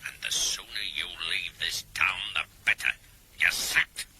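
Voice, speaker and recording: Harsh, low, gravelly councillor. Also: male voice, fairly even intonation, measured pace, noisy background. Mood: hostile, threatening. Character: curt, hostile, threatening male town councillor. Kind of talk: radio crime drama acting.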